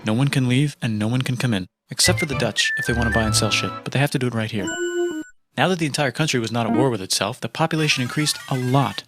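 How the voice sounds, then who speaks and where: Loud music runs behind a man narrating history as comedy from a script. He has a mid-pitched voice and keeps it deadpan, landing short, punchy phrases one after another. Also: quick pace.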